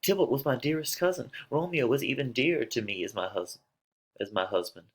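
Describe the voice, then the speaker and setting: A man's voice: mid-range voice, brisk, slightly rough. A man playing a lovesick young girl in a dramatic reading of a tragedy, declaiming with open emotion. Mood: heartbroken. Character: lovesick young girl, impatient, then anguished and grieving.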